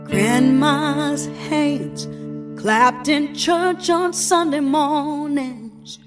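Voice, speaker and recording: A woman's voice: sits high, very slow, over music. A woman singing in a jazz and gospel style. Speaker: singer.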